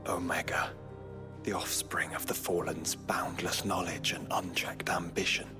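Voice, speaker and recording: A man's voice: deep voice, level tone, unhurried, whispered, music in the background. An actor playing a thoughtful, reassuring nobleman in a video game drama, formal and articulate in his speech. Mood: grave, reassuring.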